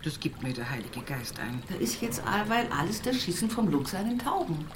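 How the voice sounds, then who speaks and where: An actress playing a devout, humorous Bavarian countrywoman looking back on her life in an intimate radio monologue, earthy and often breathy. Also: fairly low pitch, big pitch swings, moderate pace, background noise. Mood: indignant, wry. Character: rural Upper Palatinate woman, devout, humorous, wistfully reminiscing. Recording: music behind the voice. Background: music.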